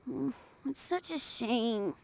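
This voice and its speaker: A woman voicing a sweet, excitable little boy in a video game. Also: a little high, slow pace, creaky, a little hiss or hum, full character voice. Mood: earnest.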